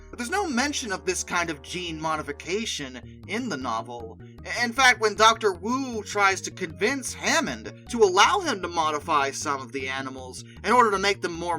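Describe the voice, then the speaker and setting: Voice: mid-range voice, bright, forceful tone, rough recording. A host giving conversational, opinionated video commentary, with emphatic asides. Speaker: host of a video ranking show.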